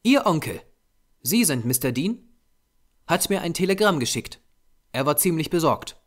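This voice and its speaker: A man's voice: fairly high pitch, big pitch swings, moderate pace, pitched above the performer's own voice. A man voicing a small, grey-haired Japanese doctor, a patient, earnest occultist lecturing formally in an audiobook. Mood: polite. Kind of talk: audiobook character voice.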